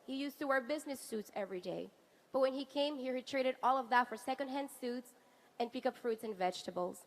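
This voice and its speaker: A woman's voice: high-pitched, brisk. A woman in her thirties from Venezuela, raised in Canada, giving a rousing political rally speech with rhetorical build-ups. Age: 30s. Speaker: rally speaker, wife of a party leader.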